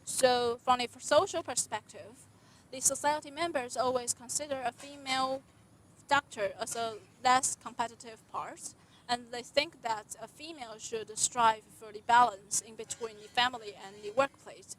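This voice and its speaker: A young woman, a resident doctor answering interview questions in an earnest, deliberate way with occasional restarts. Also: a little high, a bit bright, poor audio. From Taiwan.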